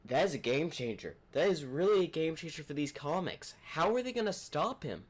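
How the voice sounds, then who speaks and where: A man's voice: fairly high pitch, sing-song, a little fast. A man hosting a reaction video, musing enthusiastically in casual commentary.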